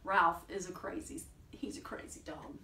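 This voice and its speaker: A woman's voice: lowish voice, moderate pace, reverberant, distant mic. American woman leading preschool storytime. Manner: simple, expressive explanations for small children. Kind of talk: children's storytime talk and read-aloud. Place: small indoor room. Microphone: camera a few feet away.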